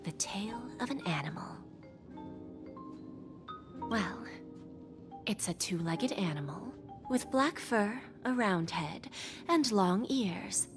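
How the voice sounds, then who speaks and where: Game villainess type: playful menace, swooping pitch, unhurried teasing delivery that dips into whispers, prominent music underneath. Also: female voice, lively intonation. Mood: sly, businesslike. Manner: slow, deliberate, teasing, often drops into whisper. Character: sly woman bargainer of wishes, softly menacing and playful. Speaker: game character voice actor. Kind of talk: theatrical video game voice acting.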